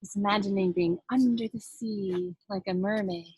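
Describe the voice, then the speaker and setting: A woman's voice: mid-range voice, fairly even intonation, normal speed, darkish tone, husky, no room sound, low-quality recording. A woman leading a children's sign language storytime, demonstrating and explaining as she goes. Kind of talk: sign language storytime lesson with singing and read-aloud.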